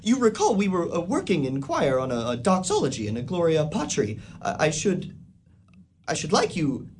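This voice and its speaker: A man's voice: on the high side, huge pitch swings, normal speed, a little mellow, a little hiss or hum. A man playing a timid, bookish village schoolmaster in a radio play, stammering and prim as he talks. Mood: flustered, awkward.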